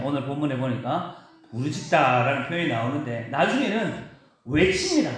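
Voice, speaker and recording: Korean pastor preaching in an echoey church. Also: male voice, fairly high pitch. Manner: preaching with emphatic rises and drawn-out stresses. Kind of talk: sermon. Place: church sanctuary.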